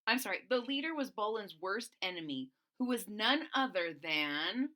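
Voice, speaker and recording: A woman reading a children's storybook aloud as host, expressive, with deliberate emphasis and explanatory asides. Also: mid-range voice.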